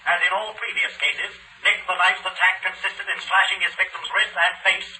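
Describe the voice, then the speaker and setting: An actor playing an urgent, formal 1940s news announcer, heard through a radio set on an old recording. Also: male voice, high-pitched, level tone, moderate pace, pushed and bright. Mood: urgent, grave. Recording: roomy, distant, hissy 1940s radio recording.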